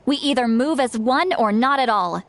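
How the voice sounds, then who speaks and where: A woman voicing a caring, upbeat young female adventurer in a game, who talks in a warmly reassuring and encouraging way. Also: on the high side, lively intonation, normal speed, a little hiss or hum. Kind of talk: game voice acting.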